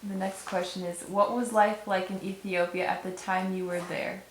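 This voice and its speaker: A woman interviewer reading out questions in a plain, measured way. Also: mid-range voice, level tone, slight background noise. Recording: roomy room sound.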